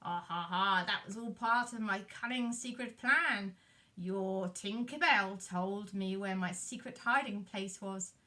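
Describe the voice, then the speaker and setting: A woman's voice: mid-range voice, a little slow, bright, forceful tone, voice pushed down. Woman putting on a scheming, theatrical pirate captain. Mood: gloating. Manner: theatrical, boastful, cackling. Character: dastardly, gloating, scheming male pirate captain. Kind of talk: children's puppet show storytelling. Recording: distant microphone.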